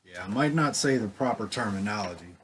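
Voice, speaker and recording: A man with a clear voice without rasp, chatting in a laid-back, joking and agreeable way. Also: fairly low pitch, lively intonation, a little fast, fairly bright tone, a little hiss or hum. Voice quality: clear, not raspy. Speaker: cigar review co-host. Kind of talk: casual chat.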